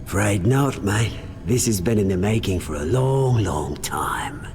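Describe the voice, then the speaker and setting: A man's voice: on the low side, animated, unhurried, darkish tone, slight background noise. A man playing a cocky, foul-mouthed British crime boss villain in a video game, with a taunting, sneering, theatrical delivery. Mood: smug, taunting.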